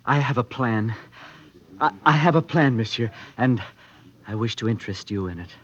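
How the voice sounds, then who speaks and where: On a dull-sounding vintage radio recording, a man plays a poor, ambitious youth of nineteen with a medium-pitched male voice. He speaks earnestly and deliberately, flattering his listener as a schemer does. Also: lightly acted. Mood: eager, secretive. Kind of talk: 1950s radio drama acting.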